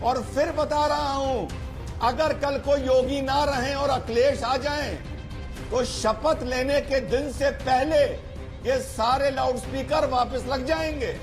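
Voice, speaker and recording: A man's voice: very high voice, fairly even intonation, takes it slowly. A man speaking as an advocate on a televised news debate panel, arguing in an emphatic, combative way. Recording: TV broadcast with music behind.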